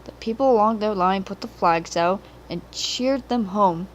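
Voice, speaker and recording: A woman's voice: mid-range voice, measured pace, lo-fi sound, a little hiss or hum. A woman reading a children's story as a scripted narration, on an amateur home recording.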